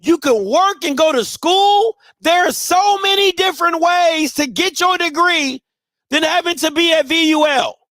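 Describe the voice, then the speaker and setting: A man in his thirties from Maryland hosting a live show, giving blunt, emphatic advice to listeners and sometimes shouting. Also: extremely high pitch, a little slow, dry recording. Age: 30s. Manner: emphatic, blunt, swings between soft and shouting. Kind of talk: casual advice talk to listeners.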